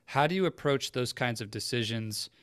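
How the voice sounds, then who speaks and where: A man's voice: medium pitch, lively intonation, normal speed, fairly bright tone, clear, resonant tone, no echo, studio quality. A man hosting a podcast, framing questions in a conversational interviewing style. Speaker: podcast host, career coach interviewer.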